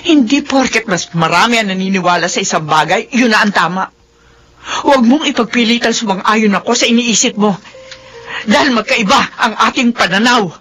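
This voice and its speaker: Stubborn indignant mom in a radio soap, slightly high raspy voice, argues firmly. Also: female voice, fairly quick, a bit bright, soft background music. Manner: indignant, firm, argumentative. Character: stubborn, lovestruck widowed mother defending her choices. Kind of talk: melodramatic radio drama acting.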